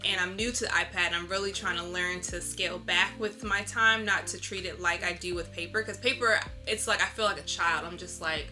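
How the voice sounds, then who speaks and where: Bright-voiced woman chatting casually over music. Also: fairly low pitch, steady tone, brisk, few pauses. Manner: informal, reflective, frequent "like". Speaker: interviewed visual artist. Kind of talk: casual interview chat. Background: instrumental lo-fi music.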